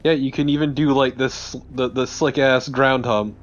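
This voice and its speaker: A man's voice: medium pitch, steady tone, normal speed, dull audio. A man giving casual let's play commentary as a co-host, joking, sarcastic and given to swearing. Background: video game ambience.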